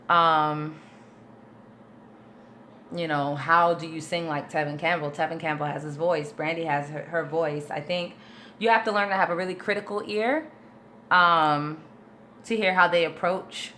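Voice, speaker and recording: A woman's voice: deep voice, fairly bright tone, slight background noise. A woman vocal coach in a casual livestream Q&A, reading viewer questions and answering them in a chatty, informal way, with patient step-by-step explanations. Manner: chatty and informal, with many fillers.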